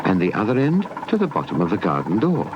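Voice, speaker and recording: A man's voice: low voice, wildly swooping pitch, quick pace, very noisy. A man with a British accent narrating a scripted children's story. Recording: dull old VHS tape, loud music.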